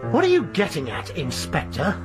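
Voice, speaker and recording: A man's voice: fairly high pitch, huge pitch swings, normal speed, music in the background. An actor playing a guilt-ridden married man who confesses in a hesitant, defensive way in a radio drama. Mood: guilty, defensive.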